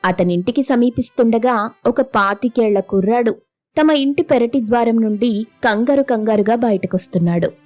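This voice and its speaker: A woman's voice: fairly high pitch, moderate pace, a little mellow, faint music behind. A woman storyteller narrating a scripted moral tale.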